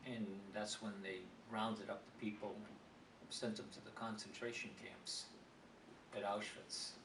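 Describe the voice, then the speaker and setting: A man's voice: on the low side, little pitch movement, a little slow. A man, answering interview questions in a rambling, digressive way with frequent restarts. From South Boston, USA. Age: 70s. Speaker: interviewee, former politician. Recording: roomy, distant mic, noisy.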